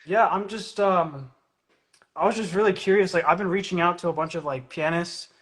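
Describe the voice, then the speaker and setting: Young dude interviewing someone on a phone live, kinda high voice, says like and um constantly. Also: male voice, moderate pace, fairly bright tone, husky. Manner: hesitant, full of "like" and "um". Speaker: interviewer host. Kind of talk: casual interview chat. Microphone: phone on a live stream.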